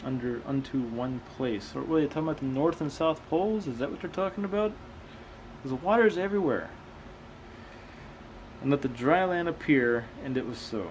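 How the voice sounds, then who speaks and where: A man's voice: mid-range voice, normal speed, slight background noise, poor audio. A man reading aloud and commenting casually as a host, with a skeptical, questioning manner. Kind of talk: casual commentary between read-aloud passages.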